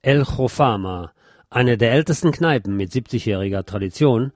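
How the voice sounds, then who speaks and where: A man's voice: medium pitch, lively intonation, normal speed, no room sound, studio quality. A man presenting the news, reading scripted reports. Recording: music under the voice.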